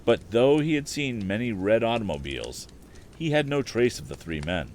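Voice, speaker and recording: A man narrating a story as its host, reading live with expressive, deliberate phrasing. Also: fairly low pitch, slight background noise.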